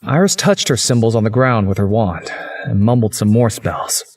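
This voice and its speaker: A man's voice: on the low side, moderate pace, darkish tone, faint music behind. A man narrating a visual novel in the first person, as a story's narrator.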